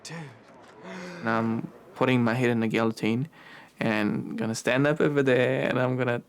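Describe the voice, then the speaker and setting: A man's voice: medium pitch, flat delivery, moderate pace. A young man from Afghanistan, a university student talking earnestly and casually in a documentary interview. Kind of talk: documentary interview and street chat.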